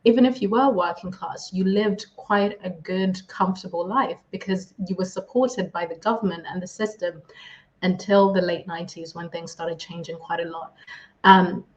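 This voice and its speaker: A woman's voice: fairly low pitch, steady tone, moderate pace, lo-fi sound. A woman from Zimbabwe whose first language is Shona, talking as a podcast guest in an earnest, reflective way. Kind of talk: podcast interview.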